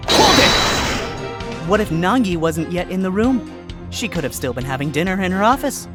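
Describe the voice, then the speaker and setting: Dude doing a smug fox lawyer, dry sarcastic jabs, voice swinging up and down, music clearly playing under. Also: male voice, sits high, lively intonation, normal speed. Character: smug sly male fox defense lawyer.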